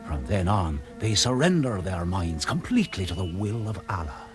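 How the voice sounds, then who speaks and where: Radio drama schoolteacher, mid-pitched, urgent and knowing, animated delivery over music. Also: male voice, normal speed. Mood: intense, explaining. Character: tense, knowing schoolteacher guiding a companion through danger. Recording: music under the voice.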